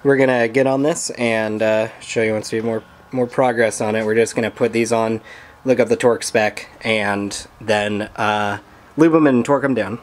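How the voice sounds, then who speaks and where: A young man hosting a garage vlog, chatting casually as he works on an engine, relaxed with lots of fillers. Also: fairly low pitch, moderate pace. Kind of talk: casual chat while working on an engine. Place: home garage. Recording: noisy garage recording.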